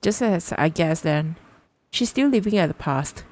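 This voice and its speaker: A woman's voice: on the low side, lively intonation, unhurried, a little mellow. A woman live-streaming a game, reacting casually and thinking aloud in a repetitive way.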